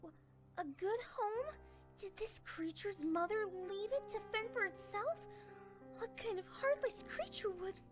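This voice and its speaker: A woman voicing a gentle, motherly pegasus pony mare in a storybook reading, timid, tender and fretful. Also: extremely high pitch, a little slow, music in the background, voice pushed up. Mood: worried wonder. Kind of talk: storybook character voice in fan fiction reading.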